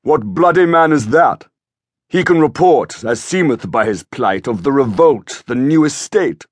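A man plays a regal, commanding monarch in an audiobook Shakespeare drama. He speaks slowly and deliberately, with wide swings of pitch, in a dry recording. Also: animated, breathy edge, dry recording. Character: stately, commanding king, generous to his warriors.

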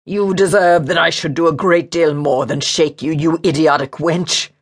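A woman voicing an overbearing, angry Regency gentleman who scolds in blustering, archaic upper-class language. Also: low-pitched, steady tone, normal speed, husky, pitched above the performer's own voice. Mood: scolding.

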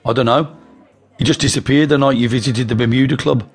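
A man's voice: mid-range voice, fairly even intonation, fast, breathy edge, soft background music, pitched above the performer's own voice. A man voicing a nervous, lying male crook from Birmingham who talks eagerly and pushily, with a Birmingham accent. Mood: urgent. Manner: eager, pushy, blurting.

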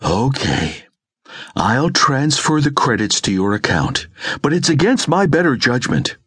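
Man putting on a scornful, irritable woman, swooping pitch. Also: big pitch swings, measured pace, a bit bright, breathy edge, no echo, clean studio sound, full character voice. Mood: grudging. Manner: grumbling, scornful, exclamatory. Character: brash, irritable woman comrade, scornful and foul-mouthed.

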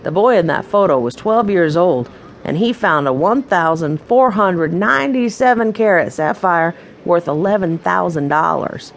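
A woman's voice: on the low side, expressive, normal speed, a little hiss or hum. A woman voicing a friendly, businesslike man with a folksy, salesmanlike manner in a children's audiobook. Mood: welcoming, informative. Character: friendly businesslike man, owner of gem mine.

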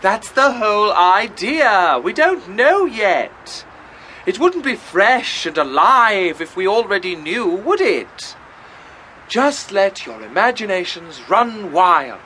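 A man's voice: sits high, very animated, slow, pushed and bright, slight background noise, exaggerated voice. Pompous, swooping theatrical man. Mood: grandiose. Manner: pompous, theatrical, grandly enunciated. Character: pompous, self-important amateur theatre director, a man. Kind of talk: comic radio drama acting.

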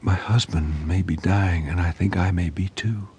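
A man voicing a badly hurt middle-aged woman who speaks in a faint, hushed whisper in a true-crime audiobook. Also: deep voice, fairly even intonation, moderate pace, husky, dry recording.

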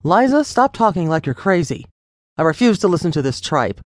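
A woman's voice: lowish voice, very animated, a little fast, dry recording, studio quality. A woman voicing an angry wealthy father, raised and blustering with threats. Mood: furious.

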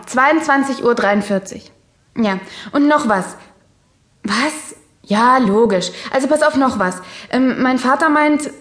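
Breezy bossy big sister, kid girl, highish voice, chatty, kids audio play. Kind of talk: German children's audio drama acting and narration.

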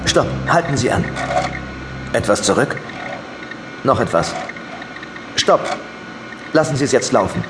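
An actor playing a brisk, commanding police investigator in an audio drama. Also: male voice, medium pitch, sing-song, background noise. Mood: curt, urgent. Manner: curt, commanding. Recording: loud music behind the voice.

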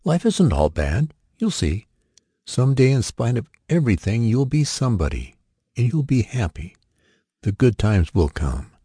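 A man's voice: medium pitch, very animated, measured pace, dark tone, slightly rough, no echo, clean studio sound, pitched above the performer's own voice, heavily acted. A man voicing an anxious, loving mother in an audiobook, pleading and soothing. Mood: pleading, reassuring.

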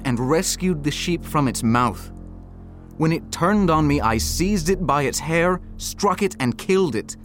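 An actor playing a bold, earnest young shepherd man in a dramatized scripture audiobook, speaking in a declamatory way. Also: a little high.

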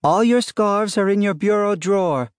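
A man voicing a calm, teasing middle-aged wife with a serene, coolly wry manner. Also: a little high, unhurried, no echo, clean studio sound, pitched above the performer's own voice. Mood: patient.

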